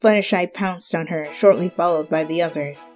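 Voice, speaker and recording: A woman's voice: fairly low pitch, moderate pace, darkish tone, soft background music. A woman giving a scripted dramatic reading of a story as its narrator.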